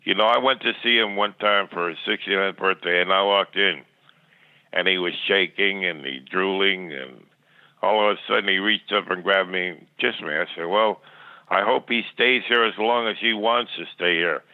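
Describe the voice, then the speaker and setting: A man's voice: low-pitched, moderate pace, no echo. Older man on a tinny phone line. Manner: rambling, plainspoken, stammering repetitions. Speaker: podcast guest. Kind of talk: reminiscing in interview.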